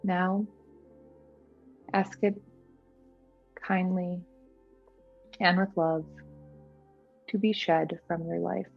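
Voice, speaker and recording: A woman guiding a meditation in a gentle, soothing, unhurried manner. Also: on the low side, steady tone, creaky, narrow, tinny audio. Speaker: meditation guide. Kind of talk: guided meditation. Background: background music.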